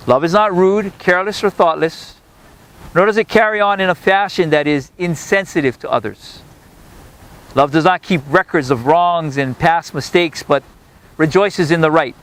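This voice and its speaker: A male officiant leads a beach wedding ceremony in a slow, solemn cadence. His first language is Hawaiian, and his voice sits a little high for a man. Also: a bit bright. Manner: measured, solemn delivery. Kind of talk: wedding ceremony address and prayer.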